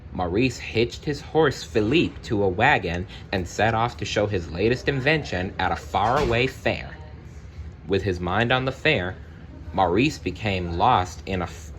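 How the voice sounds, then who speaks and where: A man reading a children's story aloud, with expressive, deliberate narration and the odd personal aside. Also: lowish voice, a little hiss or hum. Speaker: storybook reader.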